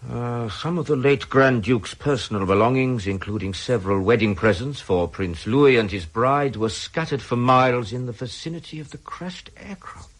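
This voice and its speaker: Slightly husky, commanding Soviet major played by a man. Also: mid-range voice, normal speed, few pauses, natural voice. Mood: reading aloud. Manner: brusque, authoritative. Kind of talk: British radio drama acting.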